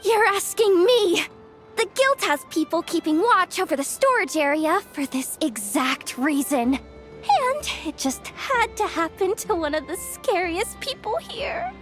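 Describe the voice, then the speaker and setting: A woman's voice: very high-pitched, very animated, unhurried, a bit bright, faint music behind, exaggerated voice. A woman playing a frazzled, anxious young guild organizer in a video game, fretful and dramatic. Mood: pleading. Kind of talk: video game character acting.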